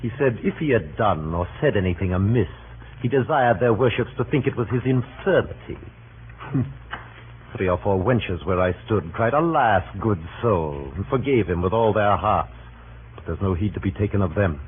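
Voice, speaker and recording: Low-voiced sardonic Roman played by a man, tinny radio. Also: animated, normal speed, lightly acted. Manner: wry, scornful, conversational storytelling. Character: Casca, sardonic blunt Roman gossip, scornful of crowds. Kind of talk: 1930s radio Shakespeare acting. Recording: tinny hissy 1930s radio broadcast.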